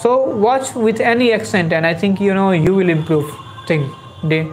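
A man's voice: high voice, normal speed, soft background music, poor audio. A young man, hosting a live-stream spoken lesson in an earnest, coaxing way, often saying "you know" and asking "right?". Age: young adult. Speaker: English tutor hosting a live session. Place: small dark room indoors, seated. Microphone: lapel mic.

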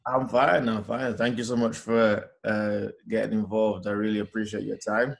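A man in his thirties with a fairly low voice hosts a casual interview over a video call from home. His phrasing is polite and informal, with some hesitation as he sets up his questions. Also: flat delivery, moderate pace, gravelly. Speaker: interviewer, host. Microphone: computer or phone on a video call.

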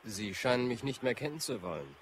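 An actor playing a proud, defiant and politely formal explorer in a radio drama. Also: male voice, mid-range voice, big pitch swings, a little fast, a little hiss or hum, close to an everyday voice. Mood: polite, assertive.

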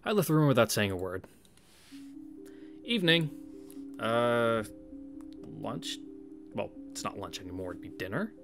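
A man casually reading a visual novel aloud as a streamer, with halting, wry asides. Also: medium pitch, very animated, fairly bright tone. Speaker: let's play streamer. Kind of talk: casual read-through of a visual novel with commentary. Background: game music.

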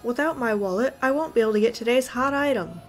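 A woman voicing an excitable, food-obsessed old man gym leader with folksy exclamations. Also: fast talker, faint music behind, lightly acted. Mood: frantic. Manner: exclaiming, folksy, booming catchphrase.

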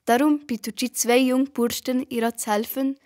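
A woman's voice: sits high, steady tone, moderate pace, darkish tone. A female narrator with a Valais Swiss German accent telling a scripted story in an amateur radio play.

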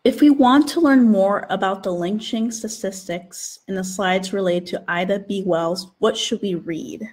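A woman's voice: mid-range voice, measured pace, few pauses, darkish tone. A woman with a mid-range voice moderates a question session. She speaks as an events assistant, reading questions aloud.

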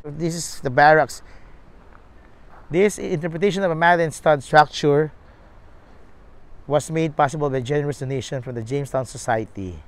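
A man in his thirties narrating a walking tour outdoors on a lapel mic, reading signs aloud in a plain explanatory way. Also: on the high side. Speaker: travel vlogger. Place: outdoors at a riverside historic site. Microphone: lapel mic, selfie camera at arm's length.

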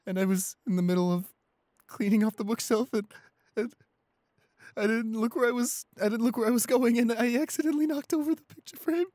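A man playing a shy, anxious, apologetic young boyfriend in an audio roleplay, halting and repeating himself in a breathy, husky voice. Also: extremely high pitch, darkish tone, dry recording, studio quality. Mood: guilty, distraught. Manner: halting, stammering, repeating pleas. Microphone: close studio microphone, dry.

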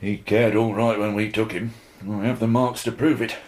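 Man playing brisk, low-voiced police inspector. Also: little pitch movement, a little mellow, close to an everyday voice, rough recording. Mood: brisk, dry. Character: brisk, dry, self-assured male police inspector. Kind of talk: radio drama acting.

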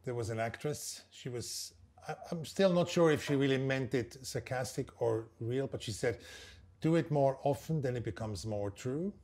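A man's voice: mid-range voice, normal speed, darkish tone. A man in his sixties from Switzerland with a Swiss German accent, a native German speaker, explaining his work thoughtfully in short phrases in an interview. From Basel, Switzerland. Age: 60s. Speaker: interviewed composer and percussionist. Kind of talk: reflective interview conversation. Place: indoor room at a large wooden table under glazed roof.

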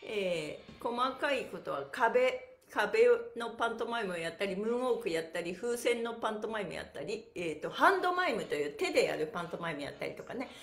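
A woman's voice: medium pitch, brisk, hardly any pauses, reverberant. A middle-aged woman hosting an upbeat livestream exercise class, chirpy, encouraging and full of exclamations, recorded a little way from the device in a small bare room. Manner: animated, chirpy, exclaiming and encouraging, often laughing. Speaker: pantomime and stretching instructor, livestream host. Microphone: device mic a step or two away.

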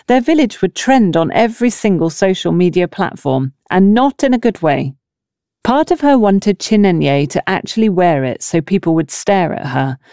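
Female audiobook narrator, lowish mellow voice, animated storytelling delivery, dry close sound. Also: dry recording. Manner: expressive storytelling with acted dialogue. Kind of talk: scripted novel narration.

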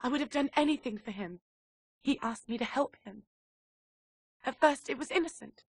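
An actress playing a poised, seductive socialite agent who turns desperate traitor in a dramatic video game cutscene. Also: female voice, high voice, normal speed, no echo, clean studio sound. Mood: wistful, confessional.

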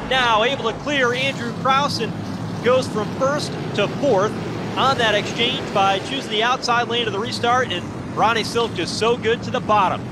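A man calling live play-by-play for a stock car race, with excited, rapid race calling. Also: very high-pitched. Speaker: race commentator. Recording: loud race-car noise underneath. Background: roaring race engines.

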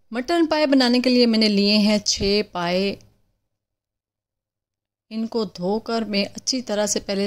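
A woman hosts a home cooking channel and narrates the recipe over the footage. She talks calmly and explains each step in order, like an everyday host. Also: mid-range voice. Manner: calm step-by-step instructions.

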